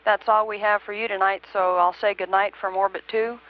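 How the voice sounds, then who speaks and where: A woman's voice: mid-range voice, level tone, brisk. A woman working as a mission control communicator, talking procedurally but conversationally to a crew over the radio. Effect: radio transmission. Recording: dull band-limited space-to-ground radio link.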